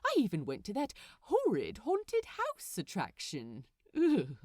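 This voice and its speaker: A woman's voice: on the high side, huge pitch swings, a little slow, a little mellow, no room sound, clean studio sound, exaggerated voice. A woman voicing a grouchy, mischievous male demon in a storybook reading, with a sulky, muttering manner. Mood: whiny disgust. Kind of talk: acted dialogue in audiobook reading.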